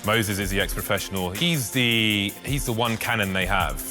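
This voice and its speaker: A man's voice: lowish voice, moderate pace, pushed and bright, faint music behind. A man boasting as team captain in a trash-talking promo. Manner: boastful, menacing.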